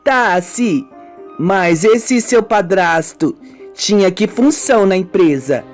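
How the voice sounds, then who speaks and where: A woman playing an inquisitive woman listener, measured and probing, in an amateur radio soap. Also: mid-range voice, lively intonation, soft background music. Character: Sônia, inquisitive woman listener pressing for the story.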